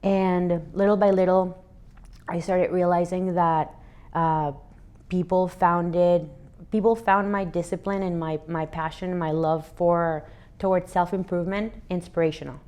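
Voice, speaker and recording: Low-voiced woman recounting her story. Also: fairly even intonation, measured pace, a little mellow, clear, resonant tone. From Venezuela. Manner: earnest, reflective, frequent restarts. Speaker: interview guest, athlete and fitness educator. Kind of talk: relaxed interview answers.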